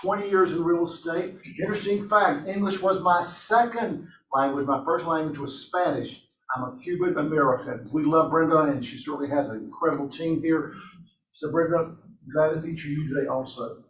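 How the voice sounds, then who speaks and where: A man's voice: on the high side, fairly bright tone. A man leading a team meeting in a meeting room, upbeat and encouraging, asking the room questions. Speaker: real estate office leader running a team meeting. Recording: echoey room, from a distance, faint noise.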